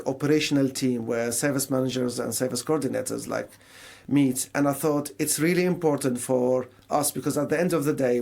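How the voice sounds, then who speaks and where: A man's voice: medium pitch, vocal fry, a little mellow. A man from Syria with a Syrian Arabic accent, speaking conversationally as a guest in a radio interview and explaining things with imagined quotes. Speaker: mental health charity service manager, radio guest.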